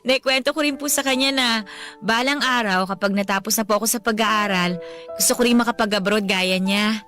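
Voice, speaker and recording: A woman's voice: fairly high pitch, fairly quick, a bit bright, faint music behind, lightly acted. A woman playing a devoted young adult daughter with a Filipino accent in a radio drama, respectful and earnest. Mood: wistful. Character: devoted, respectful young daughter missing her late father.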